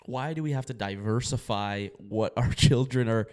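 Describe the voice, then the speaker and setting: A man's voice: medium pitch, moderate pace, darkish tone. A young man co-hosting a casual podcast, rambling with lots of fillers and restarts. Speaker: podcast co-host.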